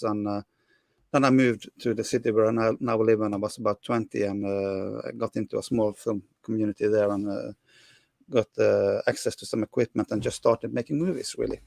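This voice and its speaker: A middle-aged man from Norway with a Norwegian accent, answering interview questions in English with many pauses and restarts. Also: medium pitch, vocal fry, a little mellow, dry recording, studio quality. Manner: hesitant, many fillers and restarts. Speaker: film director guest.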